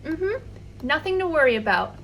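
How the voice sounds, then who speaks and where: A high, girlish young bunny big sister, acted by a woman for a children's bedtime story. She is caring and patient, talking in a childlike, reassuring way. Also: sing-song, normal speed, a bit bright, slight background noise, heavily acted.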